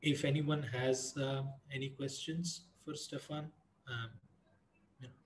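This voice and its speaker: Casual man hosting, flat pitch, noisy line. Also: unhurried. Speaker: host of an online community call. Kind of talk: casual, informal meeting hosting. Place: online conference call. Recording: online call with clear background noise.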